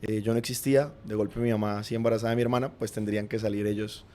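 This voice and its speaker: A man with a Colombian accent, a podcast guest, reflectively recounting his family's past in an earnest, unhurried way. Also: fairly low pitch, little pitch movement, dark tone, a little hiss or hum. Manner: earnest, reflective, frequent "digamos" and "pues" fillers. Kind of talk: personal storytelling in conversation.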